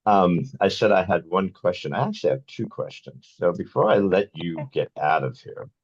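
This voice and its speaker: A man with a very low, husky voice hosts an interview casually. His delivery is folksy and informal, swinging widely in pitch, and the sound is slightly dull. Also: expressive, normal speed. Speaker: podcast host.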